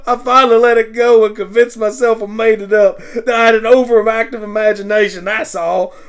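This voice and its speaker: A man voicing a stern, hurt adult mare, a protective big sister with a forceful scolding manner, in an amateur radio play. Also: high-pitched, fairly even intonation, a little fast, smooth. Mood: furious, anguished.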